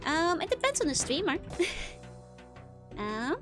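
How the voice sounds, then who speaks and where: A woman's voice: high-pitched, very animated, unhurried, over music. A young woman with a Dutch accent chatting casually while streaming a game, rambling with lots of fillers and giggles. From Netherlands. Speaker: VTuber streamer. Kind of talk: casual gameplay chat reading chat.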